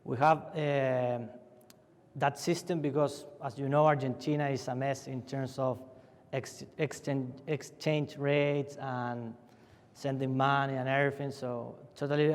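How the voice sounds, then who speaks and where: A man's voice: mid-range voice, steady tone, normal speed, darkish tone. Chilean man in an echoing conference hall. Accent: Chilean Spanish. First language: Spanish. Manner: loose and chatty, many restarts and fillers. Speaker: panelist from a family winery. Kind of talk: conference fireside chat. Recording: long hall reverb.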